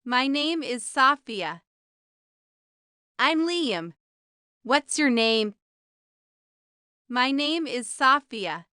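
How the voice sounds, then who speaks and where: High, crisp woman reading language drills. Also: sharp tone, dry recording, clean studio sound. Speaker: English language teacher. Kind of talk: scripted question-and-answer drill for beginners.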